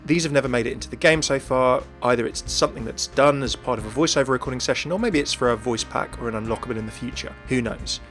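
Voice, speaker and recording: A man's voice: mid-range voice, fast talker, few pauses, a bit bright, faint music behind. A man giving chatty, enthusiastic commentary as host of a gaming video. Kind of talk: scripted video commentary on a game.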